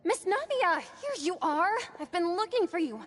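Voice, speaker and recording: A woman's voice: extremely high pitch, sing-song, normal speed, fairly bright tone, big-room sound, faint music behind, heavily acted. A woman voicing an eager, polite young female townsperson in a video game. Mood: polite, urging.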